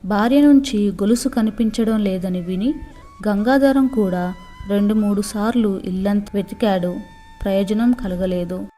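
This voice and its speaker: A woman's voice: mid-range voice, unhurried, dark tone, soft background music. A woman reading a scripted moral story for children as a storyteller.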